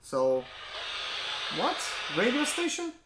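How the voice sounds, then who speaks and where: A man casually unboxing a gadget on his vlog, often exclaiming with excitement. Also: very high voice, slow pace. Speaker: mobile mechanic YouTuber. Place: indoors at home. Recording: echoey room with faint noise.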